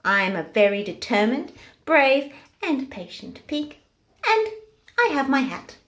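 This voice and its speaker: Woman as a high-voiced, determined little pig. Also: big pitch swings, a little slow, fairly bright tone, a little hiss or hum, full character voice. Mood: proud. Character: determined little male pig, proud and excitable.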